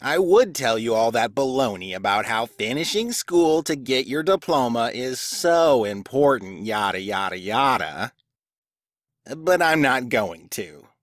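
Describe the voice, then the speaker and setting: A man acting as a smug, unprofessional school official in an audio drama. His theatrical delivery swings widely in pitch, gleeful and spiteful, recorded close and dry. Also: big pitch swings, a little slow, a bit bright, no room sound.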